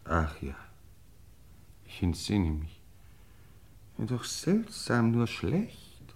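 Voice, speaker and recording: Befuddled elderly lord, slow and swooping. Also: male voice, on the low side, huge pitch swings, full character voice. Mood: dazed. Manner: theatrical, halting, ponderous. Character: befuddled, tipsy, elderly English lord and uncle. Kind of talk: old German radio crime play acting.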